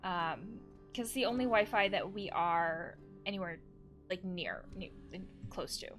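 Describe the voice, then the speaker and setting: A woman's voice: on the low side, unhurried, fairly bright tone, soft background music. A woman hosting a casual let's play, reading game text aloud and adding chatty asides as she reacts. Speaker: host of a video game let's play, therapist.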